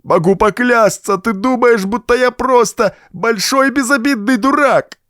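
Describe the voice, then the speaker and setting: A man's voice: very high-pitched, fairly bright tone, no echo, studio quality, pitched above the performer's own voice. A man voicing a big, simple-minded, deranged young brute in an audiobook, bellowing threats in a strained, shrieking voice. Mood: shrill frenzy. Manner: bellowing, howling threats in slow bursts.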